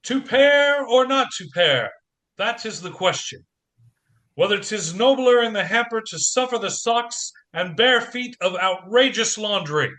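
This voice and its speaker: Man acting a bright-voiced, wisecracking harried dad. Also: sits high, animated, measured pace, exaggerated voice, rough recording. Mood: playful. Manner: theatrical, joking. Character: harried, wisecracking single dad, warm and self-mocking. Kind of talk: audio play acting.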